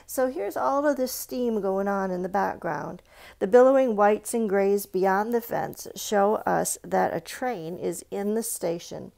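A woman with a creaky voice giving a read-aloud art lesson for children, chatting in asides and putting questions straight to her young viewers. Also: medium pitch, unhurried, mellow. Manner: instructive, addresses young viewers directly with questions. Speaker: art reader and presenter for children. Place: indoors at a stone countertop with a book.

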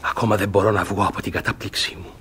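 A man's voice: lowish voice, level tone, bright, forceful tone, husky, full character voice. An actor playing a bitter, woman-hating guardian in a classic radio comedy, theatrical and indignant. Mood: stunned, bitter fury.

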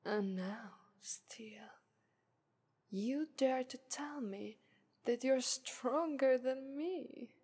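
A haughty female lust demon voiced by a woman who purrs her taunts in a breathy, airy whisper. She speaks with an Italian accent and drags every phrase out very slowly. It's an intimate ASMR roleplay. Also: high voice, mellow, full character voice. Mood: teasing. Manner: whispery, teasing, purring "ara ara".

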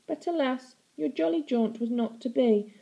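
Wicked storybook aunt female reader: cruel, gloating, patronising, theatrical, with creaky edges. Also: high voice, normal speed, warm tone, highs cut off, voice pushed up. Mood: dramatic, relishing. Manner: mocking baby talk, theatrical. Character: gloating villainous aunt, mocking, cruel and sickly-sweet.

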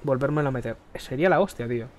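A man from Spain chatting while he plays, rambling and crude, keeps clearing his throat, speaking into a headset mic. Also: medium pitch, rapid-fire, a crowd in the background. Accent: Spain Spanish. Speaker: gaming streamer. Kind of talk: casual gameplay chat. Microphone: headset mic close to the face.

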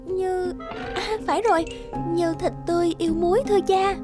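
A woman playing a gentle young noblewoman in a cartoon fairy tale, a devoted daughter who speaks tenderly and earnestly. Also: very high-pitched, fairly even intonation, normal speed, over loud music.

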